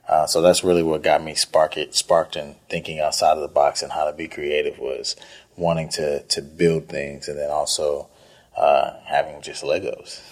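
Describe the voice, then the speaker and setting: A man's voice: very deep voice, flat delivery, normal speed, a little mellow. A thirty-year-old man from Houston, Texas, giving conversational answers as an interview guest, hesitant and thinking as he goes. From northeast Houston, Texas. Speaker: interview guest, chef and restaurant owner.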